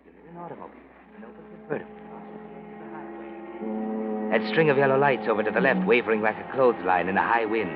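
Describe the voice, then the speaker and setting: A man's voice: fairly low pitch, fast, long pauses, lightly acted. Mystery narrator, male actor: ominous, taunting inner voice, 1940s radio drama, music underneath, muffled vintage audio. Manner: sardonic, insinuating.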